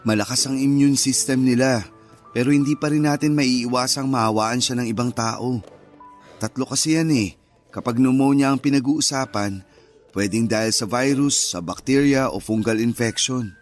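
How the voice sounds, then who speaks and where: Radio drama husband: man playing a steady, patient young father with medium pitch, faint music behind. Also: moderate pace, lightly acted. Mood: calm, reassuring. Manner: patient, explanatory. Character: calm, reassuring young husband and new father.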